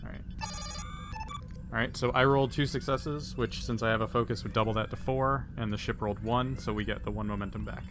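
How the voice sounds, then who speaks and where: A man's voice: mid-range voice, fairly even intonation, brisk. A man chatting casually as a player in a tabletop role-playing game. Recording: clear background noise.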